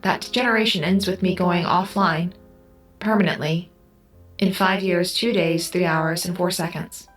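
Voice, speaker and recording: Woman acting female AI, lowish pitch, measured, exact, stoic, sci-fi audio drama, faint music behind. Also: steady tone, fairly bright tone, close to an everyday voice. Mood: clinical, resigned. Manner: formal, exact, unemotional. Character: calm, precise female artificial intelligence, stoic and literal.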